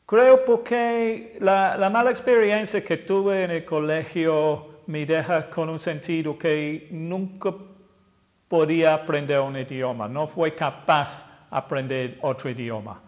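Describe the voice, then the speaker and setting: A man in his sixties from England, an English teacher with an English accent, talking casually to camera, often pausing and correcting himself. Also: a little high, fairly even intonation. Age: 60s. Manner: hesitant, self-correcting, plain-spoken.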